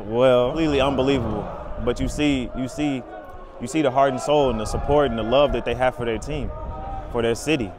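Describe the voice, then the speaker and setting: A man's voice: mid-range voice, fairly even intonation, fairly quick. A young man, a basketball player, chatting casually in slang and often repeating his phrases. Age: young adult. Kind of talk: casual chat. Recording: noisy car and outdoor stadium, crowd.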